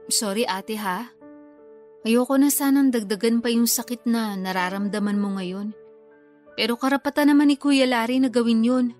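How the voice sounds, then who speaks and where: Radio drama younger sister, female actor: highish voice, Filipino, frank, scolding, caring underneath. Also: fairly even intonation, a little slow, pauses often, darkish tone, faint music behind, lightly acted. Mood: concerned, reproachful. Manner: frank, reproachful.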